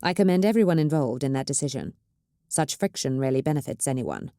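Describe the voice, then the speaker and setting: A measured planetary governor speaks with smooth courtesy that carries a veiled threat. A woman reads the part in a low, dark register. The sound is dry and close. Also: animated, no echo.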